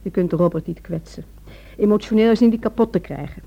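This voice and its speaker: A woman's voice: mid-range voice, a little fast, vocal fry, mellow, breathy edge, highs cut off. An actress playing an anxious, insistent, loving wife in a radio play, pressing her case with slight hesitations. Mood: anxious, defensive.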